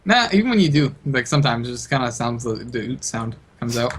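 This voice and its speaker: Fast-talking husky man from Michigan. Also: mid-range voice, very animated, a bit bright. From Michigan, USA. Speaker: guest on a game stream. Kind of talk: casual chat.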